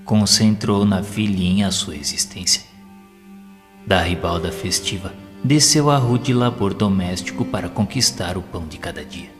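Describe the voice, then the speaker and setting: A man's voice: low-pitched, normal speed. A Brazilian man reading a literary audio novel as narrator, with formal, solemn diction. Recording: long reverb, prominent background music. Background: music.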